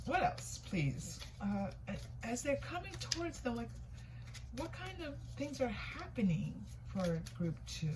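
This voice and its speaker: Woman reading tarot over noticeable background noise. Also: mid-range voice. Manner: conversational and informal, repeats herself, muses and questions herself. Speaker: tarot reader hosting a reading. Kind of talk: casual intuitive card reading, thinking aloud. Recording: clear background noise, room ambience.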